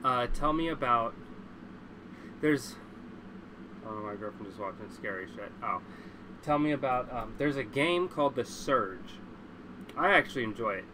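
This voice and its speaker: Male livestream host, average pitch, congested, casual and sweary with strong opinions; noticeably noisy recording. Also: lively intonation, a little slow, long pauses. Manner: blunt, profane, opinionated.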